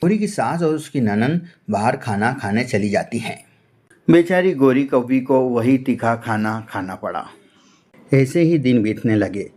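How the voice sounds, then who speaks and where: A man's voice: medium pitch, animated, unhurried, darkish tone. A man narrating a scripted children's-style cartoon story as its storyteller.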